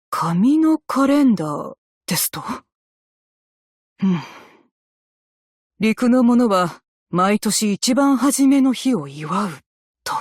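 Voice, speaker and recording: A woman playing a composed, dutiful female naval officer in a game, speaking with measured, formal military politeness. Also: mid-range voice, a little mellow, breathy edge, no echo, clean studio sound. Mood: reserved, businesslike. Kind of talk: scripted game character voice lines in Japanese.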